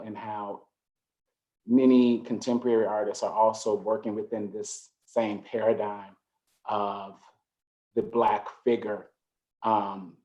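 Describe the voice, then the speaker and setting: A man, a curator speaking on a video call panel, measured and reflective with occasional fillers. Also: lowish voice, a little mellow, low-quality recording. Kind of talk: panel discussion.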